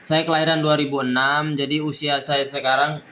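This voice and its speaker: A young man, a university student, giving a recorded exam presentation in a halting way with many fillers and restarts. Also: fairly high pitch, flat delivery, pushed and bright, slight background noise. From East Nusa Tenggara, Indonesia. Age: young adult.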